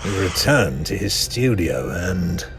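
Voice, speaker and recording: A solemn physician telling a grim comic-book tale. The voice is creaky and deep, deliberate and ominous, with faint music underneath. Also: male voice, sing-song, full character voice. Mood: grave, ominous. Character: grave male asylum doctor narrating a grim tale. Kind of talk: scripted dramatic narration.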